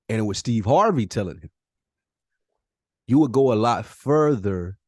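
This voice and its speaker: A man with a low-pitched voice talks as a podcast host. The sound is dry, with no room around it. He chats casually in slang and repeats his phrases to stress a point. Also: a little mellow, no room sound.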